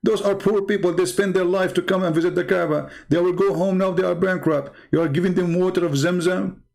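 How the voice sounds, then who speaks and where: A man's voice: high voice, steady tone, a little fast, creaky, a little mellow. A man with an Arabic accent and Arabic as his first language, hosting a live stream and reading texts aloud with sarcastic, needling commentary. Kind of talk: mocking commentary while reading religious texts.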